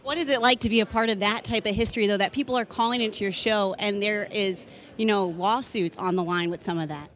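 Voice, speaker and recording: A woman's voice: mid-range voice, fairly quick, a bit bright. An American woman presenting and interviewing in a sports broadcast style.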